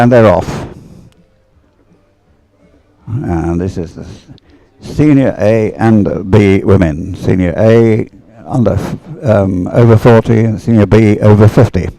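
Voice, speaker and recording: A man commentates live on a race in an unscripted, conversational style. His voice sits low and is mellow, with a lot of fry in it. He tends to hesitate and ramble as he describes what he sees. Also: slow, no room sound. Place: outdoors beside the water at a regatta.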